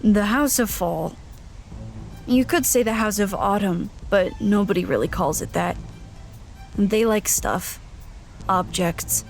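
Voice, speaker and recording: A small human lost in strange lands in an audio drama. The voice sits at a middle pitch, measured in narration and hesitant in questions. Faint music runs underneath. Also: female voice, vocal fry. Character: small, wary, practical human traveler lost in a landfill. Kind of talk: audio drama first-person narration and dialogue.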